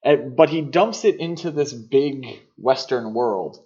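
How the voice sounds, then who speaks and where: A man with a medium-pitched voice co-hosts a film podcast. He talks in a casual, conversational way and readily gives his opinions. The recording is a little dull and lo-fi. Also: unhurried, dark tone. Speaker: podcast host.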